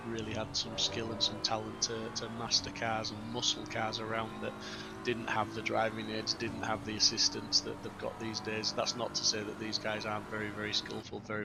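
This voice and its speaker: A man's voice: lowish voice, gravelly, heavy background noise. A middle-aged man co-commentating on a sim racing stream, rambling with many "um"s.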